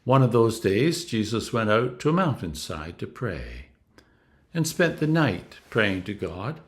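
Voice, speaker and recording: A man reading scripture aloud as a clergyman, in a solemn, deliberate style with emphatic stresses. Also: fairly low pitch, very animated.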